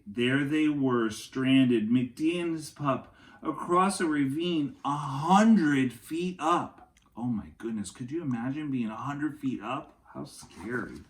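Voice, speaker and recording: A man reading a picture book aloud to young children like a teacher, in an expressive, dramatic way with chatty asides. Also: mid-range voice, echoey room.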